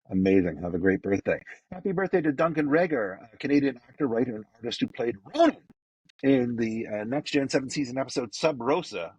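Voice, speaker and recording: A man hosting a fan podcast, giving casual, effusive birthday shout-outs, rambling with lots of fillers. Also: on the low side, breathy edge, no room sound, highs cut off. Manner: effusive and enthusiastic, loose with fillers. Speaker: podcast host.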